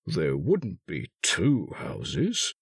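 A gruff but friendly toy bear from a storybook, performed by a man. He talks very slowly and hesitantly, a little slow-witted, with big rises and falls in pitch. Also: huge pitch swings, dry recording, clean studio sound, recorded from a distance, exaggerated voice. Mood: thoughtful. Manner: hesitant, ponderous.

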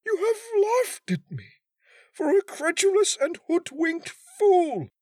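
A man voicing a bitter, high-strung old knight in his sixties in a dramatic audiobook reading. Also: wildly swooping pitch, takes it slowly, darkish tone, dry recording, clean studio sound, exaggerated voice. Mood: furious, anguished. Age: 60s. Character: furious, vindictive old English knight and wronged husband.

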